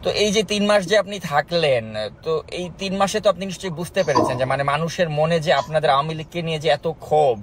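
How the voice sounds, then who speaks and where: Bangladeshi guy reporter, talks super fast, pushy challenging questions, bit of noise on the call. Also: on the high side. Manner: persistent, challenging. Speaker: journalist interviewer. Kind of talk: confrontational political interview. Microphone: recorded phone call. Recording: faint noise on recorded call.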